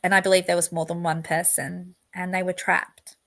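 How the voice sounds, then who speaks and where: A woman's voice: fairly low pitch, flat delivery, moderate pace, a bit bright. A woman hosting a true crime livestream, talking earnestly and at length with many fillers and restarts. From outside the US. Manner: earnest, rambling, emphatic. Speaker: true crime channel host. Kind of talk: solo livestream commentary.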